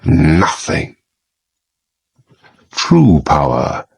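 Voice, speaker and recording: A very deep, slow-talking villain. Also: male voice, slightly rough, full character voice. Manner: grandiose, philosophizing, deliberate. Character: menacing, power-hungry male villain and gentleman host. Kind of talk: audio drama acting.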